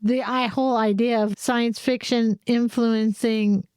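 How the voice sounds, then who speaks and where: Higher-voiced woman chatting casually. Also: fairly even intonation, a little slow, darkish tone, smooth, no room sound. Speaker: podcast co-host. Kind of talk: casual chat.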